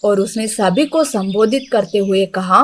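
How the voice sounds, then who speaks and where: A woman's voice: medium pitch, unhurried. A woman narrating a scripted animal moral story for a cartoon as the storyteller. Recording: faint birdsong and ambience noise.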